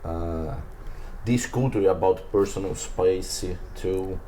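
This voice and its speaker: Male Brazilian guest, deep and relaxed tone, Portuguese native feeling for English words in casual conversation, slight noise behind. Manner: halting, simple non-native English, searching for words. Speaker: interview guest, jiu-jitsu athlete.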